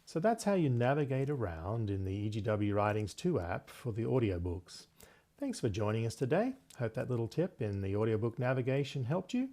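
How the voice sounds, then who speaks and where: A man hosting a software tutorial, explaining things step by step in a conversational way. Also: medium pitch, sing-song, normal speed. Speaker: host of tech tutorial series.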